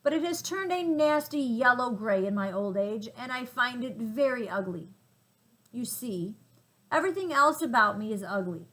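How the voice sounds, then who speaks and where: Grumpy old rhino guy, a lady reading it out, loud and mopey, storybook voice. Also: fairly high pitch, animated, moderate pace, pitched above the performer's own voice, low-quality recording. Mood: mournful. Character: old male rhinoceros, gloomy, vain and angry.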